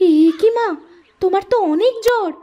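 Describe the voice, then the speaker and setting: A woman's voice: extremely high pitch, moderate pace, warm tone, slight background noise. A woman playing a sweet, devoted young village daughter in a cartoon, speaking earnestly and tenderly. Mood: anxious, caring. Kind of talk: Bengali cartoon voice acting.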